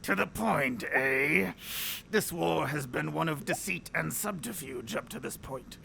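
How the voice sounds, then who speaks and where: Male actor as a pompous gloating enemy officer, gravelly and unhurried, theatrical sneer. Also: fairly high pitch, animated, sharp tone, slight background noise, heavily acted. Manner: pompous, mocking, drawn-out villain delivery. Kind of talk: audio drama acting.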